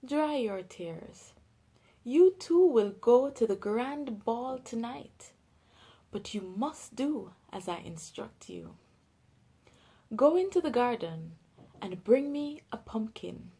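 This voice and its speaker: Woman reading as storybook fairy godmother: Jamaican, kind, reassuring, gently commanding, measured and slow. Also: a little high, sing-song, husky, heavily acted. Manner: reassuring, instructing. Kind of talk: storybook read-aloud.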